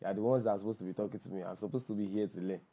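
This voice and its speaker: Hoarse Nigerian man, dull call audio. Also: on the low side, steady tone, racing, mellow. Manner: joking, self-deprecating, repeats himself for emphasis. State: hoarse voice strained from shouting. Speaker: workshop host and copywriter. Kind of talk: informal spoken introduction on a group call. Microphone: online call microphone.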